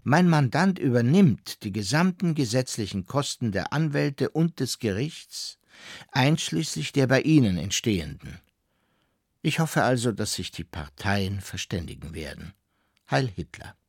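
Officious husky lawyer, swinging pitch. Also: male voice, animated, a little slow, no echo, studio quality. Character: officious, formal male Nazi-era lawyer. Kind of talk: radio drama, formal legal letter.

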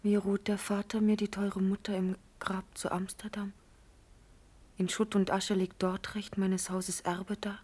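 An actress playing an orphaned, devoted young princess in a classical radio drama, declaiming verse solemnly and breathily. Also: female voice, mid-range voice, mellow, natural voice.

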